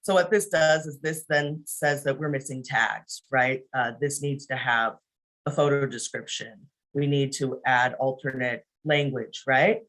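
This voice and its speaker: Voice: mid-range voice, steady tone, measured pace, fairly bright tone, rough recording. A presenter giving an informal screen-share training on a video call, talking conversationally and often checking in with the listeners by saying "right?".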